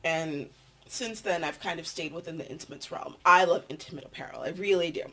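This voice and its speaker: A woman's voice: fairly low pitch, a little fast, pushed and bright, poor audio. A young adult woman with a nasal voice, chatting casually to her vlog audience in a meandering, filler-filled way. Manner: chatty, meandering, with frequent ums and likes. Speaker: vlogger.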